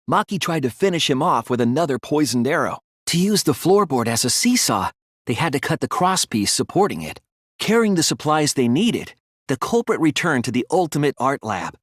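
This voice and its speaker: An earnest teenage boy detective from a video game. The voice is soft-edged and breathy at a medium pitch, recorded very dry. It moves expressively up and down as he lays out deductions in a deliberate, analytical way. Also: no room sound, heavily acted. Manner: deliberate, earnest, analytical explaining with dramatic emphasis. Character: earnest, soft-spoken teenage boy detective reasoning through murder cases. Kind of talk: English video game voice acting, deductive monologue.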